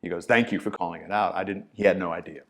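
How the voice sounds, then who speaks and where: A middle-aged man with a low-pitched voice talks easily as a podcast guest. He is an acting teacher who tells stories and acts out other people's lines with a lot of animation, salty words included. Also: quick pace. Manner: animated storyteller, acts out quotes with swearing. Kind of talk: casual conversational interview.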